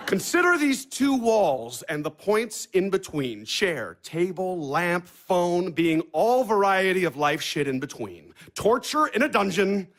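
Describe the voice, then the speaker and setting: A man's voice: high-pitched, big pitch swings, measured pace, hardly any pauses, a bit bright, exaggerated voice. An actor playing a bitter, defensive and sarcastic man in a naturalistic audio drama, talking bluntly and combatively with plenty of swearing. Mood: argumentative, dismissive.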